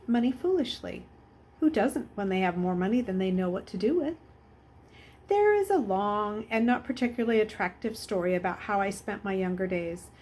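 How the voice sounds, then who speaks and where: A woman's voice: mid-range voice, a little mellow. A woman voicing a wealthy older male schoolmaster in a storybook, with formal, rhetorical phrasing and a bitter, wry temper. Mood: reflective. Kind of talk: children's novel read aloud.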